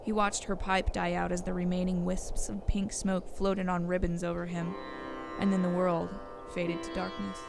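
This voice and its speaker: A woman's voice: fairly low pitch, level tone, normal speed, creaky, music in the background. A woman reading scripted storybook narration for an audio drama, on a noisy recording.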